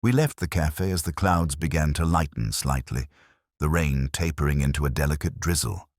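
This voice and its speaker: A man narrating a first-person detective story in a measured, literary, contemplative way. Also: very deep voice, darkish tone, no room sound, clean studio sound. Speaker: audiobook narrator.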